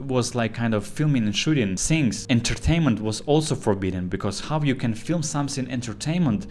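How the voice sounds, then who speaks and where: A man in his twenties from eastern Ukraine with a Ukrainian accent, Russian his first language, speaking in earnest, halting English as he opens up in a personal vlog monologue. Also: medium pitch, lively intonation, a little mellow. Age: 20s. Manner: earnest, halting non-native English with fillers. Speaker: YouTuber.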